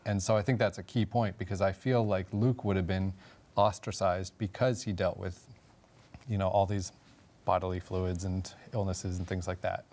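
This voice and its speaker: Thoughtful low-voiced man from Texas. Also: steady tone, normal speed, darkish tone. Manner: thoughtful, hesitant with fillers. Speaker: producer and panelist. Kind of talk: casual Bible study discussion.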